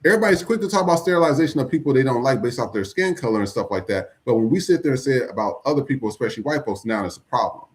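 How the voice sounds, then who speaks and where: Male podcast host, blunt and profane, animated delivery. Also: medium pitch, rapid-fire, hardly any pauses. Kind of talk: casual commentary.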